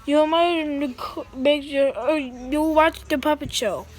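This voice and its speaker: A woman with a high voice talks casually at a homemade puppet show. There is faint noise behind her on the recording. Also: steady tone, slow.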